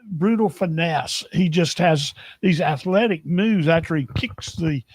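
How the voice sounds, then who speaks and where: Male co-host, a bit high-pitched, teasing and quick with asides, dry close sound. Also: no echo. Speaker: co-host and wrestling commentator. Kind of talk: casual chat.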